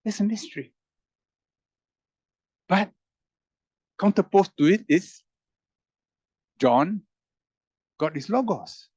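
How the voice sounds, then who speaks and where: Animated man musing aloud with a lively pitch. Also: slow, long pauses, breathy edge, no echo. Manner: wondering, rhetorical questions, emphatic rises. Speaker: roundtable panelist. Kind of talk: animated reflective public talk.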